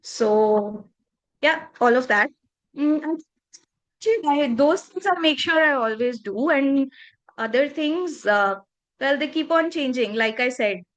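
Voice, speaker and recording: A woman's voice: high voice, animated, a little slow, poor audio. A woman around thirty, a native Punjabi speaker from northern India, talking earnestly and reflectively as a podcast guest. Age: 30s. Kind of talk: casual interview conversation.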